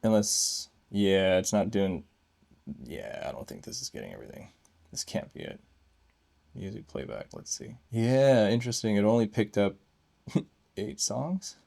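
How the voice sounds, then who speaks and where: A man reviews gadgets casually and without a script. His voice sits on the low side with plenty of gravelly fry. He thinks out loud as he goes, in short, broken phrases. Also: expressive, a little slow. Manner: thinking aloud in short fragments. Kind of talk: casual unscripted hands-on review.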